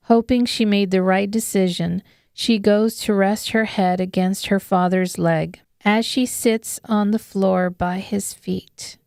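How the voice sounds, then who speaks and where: A woman with a smooth, clear voice giving scripted dramatic narration of an audiobook. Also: medium pitch, darkish tone, no room sound, studio quality.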